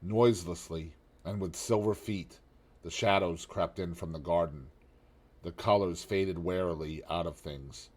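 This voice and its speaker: Brief: male narrator for a classic novel, measured and quiet, low voice. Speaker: audiobook narrator. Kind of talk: scripted narration.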